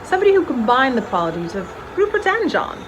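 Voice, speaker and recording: A woman's voice: sits high, very animated, quick pace, noisy background. A woman playing a prim, shy, proper unmarried librarian in a dramatized novel reading, speaking politely and with restraint. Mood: shy musing.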